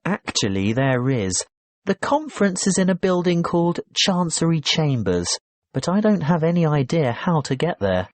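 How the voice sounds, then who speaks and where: Man playing polite businessman hotel guest, animated pitch, dry close recording, courteous, clearly enunciated, scripted dialogue. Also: normal speed, no room sound. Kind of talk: scripted listening-test dialogue.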